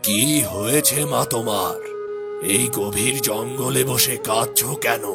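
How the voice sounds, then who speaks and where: A man's voice: medium pitch, steady tone, a little slow, vocal fry, over loud music. A man playing a kindly old wandering holy man, grave and fatherly, in a children's fairy-tale cartoon. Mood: grave concern.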